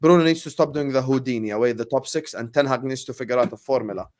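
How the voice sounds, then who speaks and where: A man's voice: medium pitch, fast talker, a little mellow, a little hiss or hum. A man co-hosting a football chat show, brash and teasing, swearing freely. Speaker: football podcast co-host. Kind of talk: heated casual football chat.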